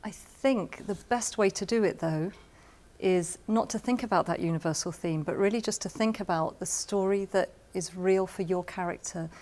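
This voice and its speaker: A woman explaining her craft advice in an interview, with measured emphasis. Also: mid-range voice, a little mellow. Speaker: radio drama producer giving writing advice. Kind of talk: interview-style advice to camera.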